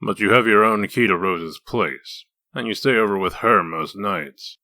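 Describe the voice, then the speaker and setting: Casting: male actor as hard-boiled wolf detective, very low, forceful edge, dry delivery for comic drama. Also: measured pace, no room sound. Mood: dry, probing. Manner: needling, dry, interrogating. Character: gruff, sardonic male wolf detective in human form. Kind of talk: comic dub dramatic acting.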